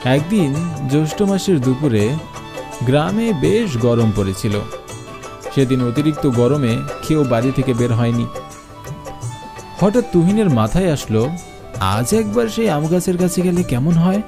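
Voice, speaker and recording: A man's voice: on the high side, animated, unhurried, pauses often, music in the background. A man narrating a scripted horror story.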